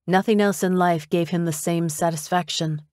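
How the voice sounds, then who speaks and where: A woman's voice: fairly low pitch, steady tone, normal speed, darkish tone, no echo, clean studio sound, close to an everyday voice. A woman narrator voicing a prim, controlled, disapproving wealthy widow in an audiobook, with a clipped, disdainful manner.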